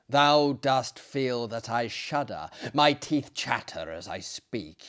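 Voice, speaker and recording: A man's voice: medium pitch, fairly bright tone. A man voicing a wretched, shuddering fiend from a gothic story, mournful and declamatory in archaic speech.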